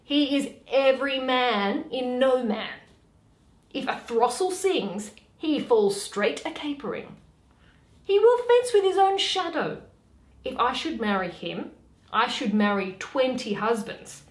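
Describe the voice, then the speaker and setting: A woman playing a witty, weary young noblewoman in a dramatic, deliberate reading of Shakespeare. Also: a little high, very animated. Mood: mocking. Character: Portia, witty weary young noblewoman, mocking her suitors. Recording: echoey room.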